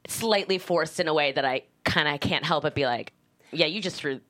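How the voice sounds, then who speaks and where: A woman's voice: low-pitched, moderate pace, a bit bright. A woman, talking informally and loosely as a guest writer in an interview. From Canada, suburban Toronto. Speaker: guest screenwriter. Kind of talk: casual conversational interview.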